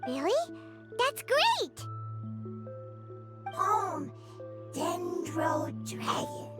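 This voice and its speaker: A woman voicing a small, innocent fungus creature in a video game, speaking in halting, broken phrases. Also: very high-pitched, sing-song, loud music behind the voice, heavily acted. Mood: hopeful, simple. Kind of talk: video game character acting.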